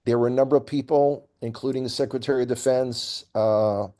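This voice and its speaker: A man with a warm, relaxed tone and a medium pitch talks politics as a guest commentator. He is opinionated and emphatic, laying out his points deliberately in a conversational way. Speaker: regular guest commentator on a news update programme.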